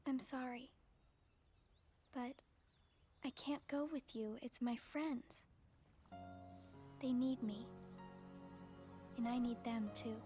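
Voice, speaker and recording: A high, soft girlish voice plays a gentle teenage girl unsure of who she is. The delivery is earnest and halting, in video game cutscene style, with music clearly behind it. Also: steady tone. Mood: sorrowful, earnest.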